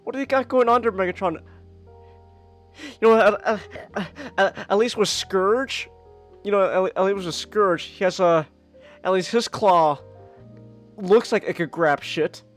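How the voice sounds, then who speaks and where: A man co-hosting a casual podcast, excitable and stammering, laughing often and breaking into squeaky character voices. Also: measured pace, pauses often, soft background music. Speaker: podcast co-host. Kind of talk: casual chat.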